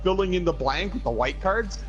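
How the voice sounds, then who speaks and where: A man's voice: sits high, lively intonation, fairly quick, dull audio. A young man chatting casually on a game stream, swearing and playfully trying out voice impressions. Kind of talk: casual gaming chat. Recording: game music behind voice.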